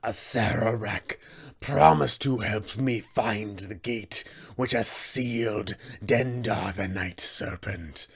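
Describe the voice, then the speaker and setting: A menacing serpent-folk sorcerer, grandiose and near death. The words come very slowly and drawn out, with the weight of a tabletop fantasy villain who expects to be obeyed. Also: male voice, on the low side, vocal fry, breathy edge, heavily acted. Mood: weary, grave.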